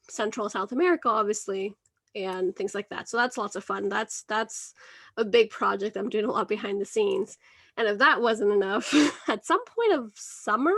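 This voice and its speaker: A woman's voice: medium pitch, a bit bright, no room sound. A woman in her thirties from Venezuela, hosting a podcast interview in a bubbly, chatty way and laughing often between phrases. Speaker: podcast host. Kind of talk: casual interview chat.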